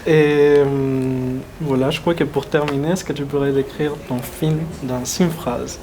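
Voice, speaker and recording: A man's voice: mid-range voice, steady tone, a little slow. A man interviewing a filmmaker, informal, asking short questions and nodding along. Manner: informal, brief prompts and backchannels. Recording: room with ambient noise.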